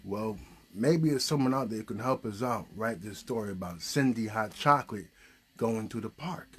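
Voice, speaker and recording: A man hosting an improvised children's storytelling puppet show, casually thinking aloud in a halting, questioning way. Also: lowish voice, husky.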